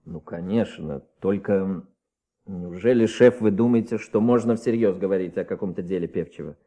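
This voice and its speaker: A man's voice: low voice, moderate pace, dark tone. An actor playing a skeptical but deferential police inspector under his chief in a radio play. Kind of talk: Soviet radio play acting.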